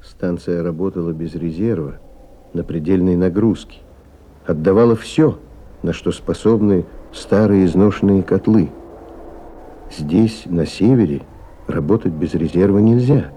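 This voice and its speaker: An actor performing as a grave, measured storyteller, giving scripted dramatic narration for a radio play. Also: male voice, low voice, mellow. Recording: noisy old 1970s radio recording.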